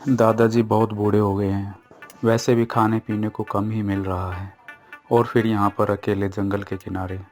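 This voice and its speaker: A man's voice: lowish voice, flat delivery, moderate pace, darkish tone, soft background music, lightly acted. Man playing a humble, honest poor young man. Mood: resigned.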